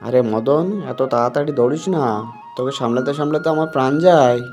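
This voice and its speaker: A man's voice: mid-range voice, fairly even intonation, quick pace, a little mellow, faint music behind, natural voice. A man voicing a fussy village uncle in a cartoon, fondly scolding the herd boy. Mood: fretting. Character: fussy village uncle, fond but fretting.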